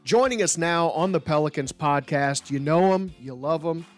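A man's voice: fairly high pitch, moderate pace, soft background music. A man hosting a sports podcast in a casual, chatty style. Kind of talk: casual chat.

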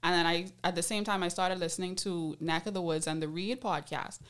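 A woman with a Bahamian accent hosting a podcast, chatting casually and telling stories candidly in slangy, animated phrasing. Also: lowish voice, brisk, clear, resonant tone. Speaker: podcast host.

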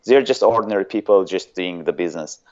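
A man's voice: low voice, quick pace, darkish tone, highs cut off. A man from Azerbaijan with an Azerbaijani accent, a guest on an interview show who talks hesitantly and often searches for words. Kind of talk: casual interview chat.

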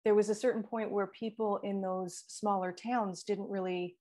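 A middle-aged woman from Italy speaks as an interview guest. Her voice sits at an ordinary middle pitch, and she tells her story in a measured, reflective way. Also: steady tone, mellow. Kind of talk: conversational interview.

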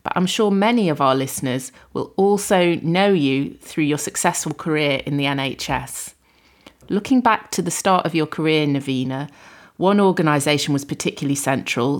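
A woman hosting a health podcast, reading a polished introduction and asking measured, polite questions. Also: deep voice. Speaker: podcast host. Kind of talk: scripted podcast introduction and interview.

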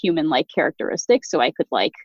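A woman's voice: low voice, expressive, fast talker, slightly rough, no room sound. A woman CEO, a guest on a podcast, talking casually and chattily with lots of "like" fillers. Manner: chatty, many "like" fillers, laughs easily. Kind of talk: casual conversational interview. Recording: dry, dull lo-fi sound.

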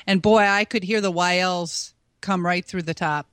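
A woman's voice: lowish voice, steady tone, normal speed, a bit bright, clear, resonant tone, no echo, studio quality. A woman guest on a radio talk show, talking freely and casually about her hobby. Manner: chatty, talks freely. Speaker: guest, amateur radio operator. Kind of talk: casual interview chat.

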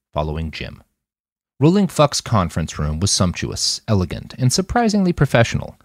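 Deep guy reading his fiction podcast, super varied intonation, really dry recording. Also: a little mellow, dry recording. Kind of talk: expressive scripted audiobook narration.

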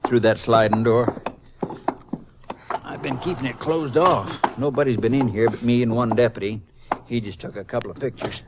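An actor playing a plainspoken, cooperative small-town Texas sheriff with a hesitant, folksy manner, in 1950s radio style. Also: male voice, mid-range voice, normal speed, lightly acted. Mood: earnest, puzzled. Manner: hesitant, plainspoken, drawling rural phrasing. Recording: muffled, noisy 1950s radio recording.

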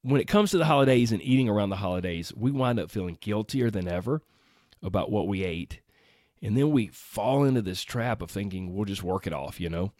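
Hoarse, upbeat man hosting a podcast. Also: fairly low pitch, lively intonation, brisk, a little mellow, dry recording, studio quality. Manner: upbeat and earnest, exclaims often. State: hoarse after being sick. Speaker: podcast host. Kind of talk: solo podcast talk.

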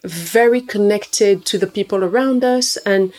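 A woman who grew up in Morocco, a nutritionist guest in an interview, telling stories about her childhood in a lively way. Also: medium pitch, unhurried. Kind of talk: interview conversation.

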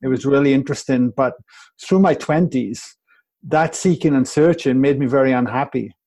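A man's voice: medium pitch, moderate pace, dark tone. A Welsh man in his forties, a guest on a podcast over a video call, reflective and rambling with many restarts. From Wales. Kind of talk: podcast interview.

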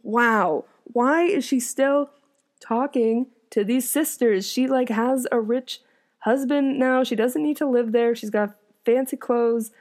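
A woman's voice: fairly high pitch, slow pace, darkish tone. Chatty young woman reading a folk tale. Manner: amused, chuckling, conversational asides. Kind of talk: reading aloud with casual chatty commentary.

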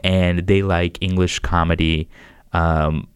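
A man's voice: deep voice, flat delivery, slow, clear, resonant tone, dry recording, clean studio sound. A young American man, a comedian, chatting casually with lots of restarts and fillers. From United States. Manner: rambling, restarts, frequent "like". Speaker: comedian guest. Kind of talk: casual podcast chat.

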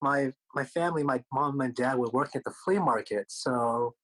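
A man's voice: mid-range voice, moderate pace, a bit bright. Korean-first-language guy as interview guest, super casual, jokes around, says like a lot. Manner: loose and joking, heavy use of "like" and "you know".

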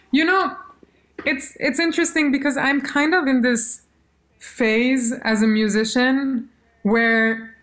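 Woman musician chatting animatedly. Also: medium pitch. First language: German. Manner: animated storytelling, quotes others, laughs. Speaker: musician guest. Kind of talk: casual interview conversation.

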